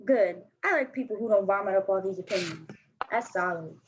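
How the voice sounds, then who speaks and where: A woman's voice: medium pitch, lively intonation, a little fast, slight background noise, close to an everyday voice. A woman playing a blunt, slangy, defensive fourteen-year-old girl skateboarder in a staged play reading. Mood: guarded, curious. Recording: dull lo-fi recording.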